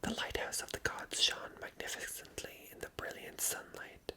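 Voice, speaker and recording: A person reading a story aloud as ASMR narration in a hushed, unvoiced whisper. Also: moderate pace. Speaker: ASMR reader and channel host.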